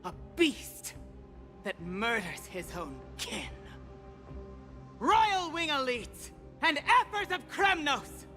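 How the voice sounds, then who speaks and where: A proud queen from a fantasy game, defiant and commanding. The voice swings dramatically in pitch with a bright, forceful edge, ringing in a long reverb. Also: very animated, slow, pauses often, heavily acted. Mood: condemning, defiant. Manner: impassioned, theatrical, commanding declamation. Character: proud, defiant queen pleading for her child. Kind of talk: dramatic video game voice acting. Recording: long hall reverb, music behind.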